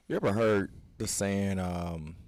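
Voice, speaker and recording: A man's voice: low-pitched, unhurried, darkish tone, no echo. A man in his thirties co-hosting a fitness podcast, talking in an earnest, preachy way that rambles and restarts. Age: 30s. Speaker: podcast co-host, fitness coach. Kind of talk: casual podcast discussion.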